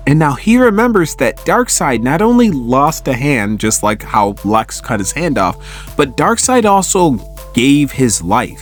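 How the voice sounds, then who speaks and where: A man giving a casual, enthusiastic story recap as a host, with an animated, excitable way of telling it. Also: mid-range voice. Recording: music under the voice. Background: background music.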